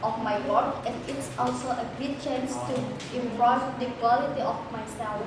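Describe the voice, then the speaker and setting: A woman's voice: on the high side, steady tone, moderate pace. A twenty-year-old woman, playing a job applicant and giving careful, memorized answers in simple English. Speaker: job applicant in a student role-play. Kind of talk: rehearsed role-play interview. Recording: echoey room, far from the mic, noisy.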